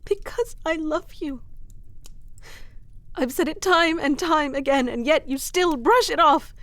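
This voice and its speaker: A woman's voice: high voice, unhurried, a bit bright, dry recording. A woman voicing a devoted, lovestruck fantasy noblewoman in an ASMR romance roleplay, earnest and dramatic with formal storybook phrasing. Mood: pleading, impassioned.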